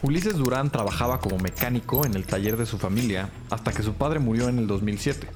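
A police researcher played by a man delivers a factual, informative briefing in a medium-pitched voice. Music is clearly audible beneath this audio drama. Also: fairly quick, close to an everyday voice. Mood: matter-of-fact. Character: male police researcher reading out background findings.

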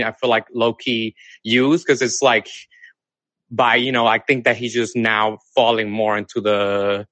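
A man's voice: medium pitch, bright, forceful tone, no room sound. A man from the Dominican Republic calling in to a talk show, speaking casually with many fillers and restarts. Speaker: caller.

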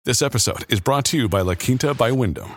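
A man's voice: mid-range voice, lively intonation, fast talker. A man reading a scripted podcast ad. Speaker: ad read. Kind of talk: scripted ad read. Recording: music bed behind.

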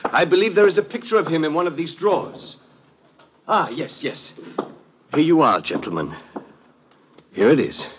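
An actor playing a polished, over-eager official under suspicion in an old-time radio drama, formal and courteous with protesting turns. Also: male voice, a little high, expressive, moderate pace, long pauses, noisy background, lightly acted. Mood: courteous, defensive. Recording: muffled vintage radio recording.

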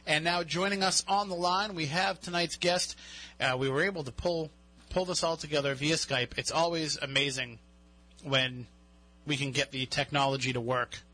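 A man's voice: fairly high pitch, steady tone, normal speed, bright, forceful tone, poor audio. A man with a Boston accent hosting a radio show, chatting casually and joking with a guest. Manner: chatty, jokey, laughs often. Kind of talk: casual interview chat. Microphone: studio mic.

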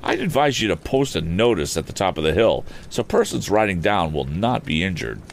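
A man lifting his voice into a confident young boy inventor, cool, crisp and commanding, with lively rises and falls in his speech. Pitched well above the reader's own low voice, it sounds like a storybook hero squaring up to a bully. A faint hiss of noise sits under the reading. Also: fairly quick, full character voice. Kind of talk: audiobook character voice.

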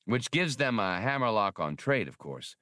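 Brash male space-pilot smuggler , read by a male narrator, wry audiobook dialogue, lowish and animated. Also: moderate pace, no room sound, clean studio sound. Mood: skeptical, wry. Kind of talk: audiobook character reading.

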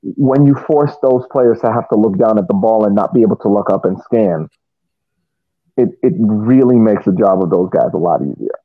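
A low-voiced man gives casual tactical analysis on a sports podcast. He explains things in measured phrases, and the sound is thin and phone-like, with no room around it. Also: no room sound. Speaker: soccer podcast co-host. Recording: dry, tinny phone-like line.

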